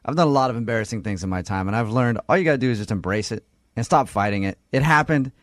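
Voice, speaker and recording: A man's voice: medium pitch, quick pace, dry recording. A man hosts a radio show with casual, persuasive banter. His voice sits in a middle register. The sound is dry, with very little room.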